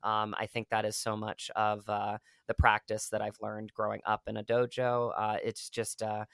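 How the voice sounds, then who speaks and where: A man's voice: on the low side, monotone, normal speed, creaky, fairly bright tone, clear, resonant tone, no room sound, studio quality. A man from rural Virginia, an actor guest talking in a reflective, rambling way with many fillers. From rural Virginia, USA. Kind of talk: casual interview talk.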